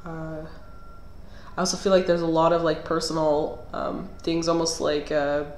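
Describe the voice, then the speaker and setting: A woman talks through a tarot reading in a relaxed, rambling way, pausing on fillers and starting sentences over. She sounds a little nasal, as if getting over a cold, and her voice sits on the low side. The room gives an echoey ring, with a faint hiss underneath. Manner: thinks aloud with fillers and restarts. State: under the weather, clearing throat. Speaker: tarot reader. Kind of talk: casual tarot reading monologue.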